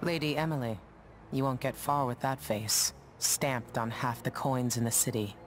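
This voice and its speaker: Worldly sea captain for a game, played by a woman: very low female voice, terse, faint noise. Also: fairly even intonation, normal speed. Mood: frank, dry. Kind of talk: video game voice acting.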